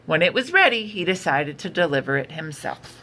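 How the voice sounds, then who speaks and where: A woman reading a picture book aloud for children in an expressive, unhurried storytelling manner. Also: deep voice. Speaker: storytime reader. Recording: noticeable background noise.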